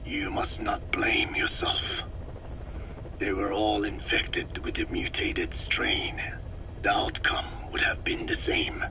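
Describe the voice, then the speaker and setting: An actor playing a grave, learned old man for a video game tape, speaking slowly and formally in a breathy rasp. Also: lowish voice, little pitch movement, in a whisper. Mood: sombre, remorseful. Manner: slow, measured, formal, solemn. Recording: noisy cassette tape recording.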